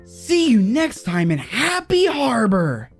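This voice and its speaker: A woman's voice: fairly high pitch, wildly swooping pitch, takes it slowly, music in the background, heavily acted. A woman playing a scheming, upbeat assistant and kids' show host in a horror comedy audio drama. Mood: cheerfully sinister.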